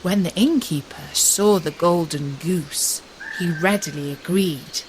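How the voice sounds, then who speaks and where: A woman narrating a fairy tale for English learners in a measured, expressive read-aloud way. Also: lowish voice. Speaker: storyteller. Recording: steady loud pink noise background.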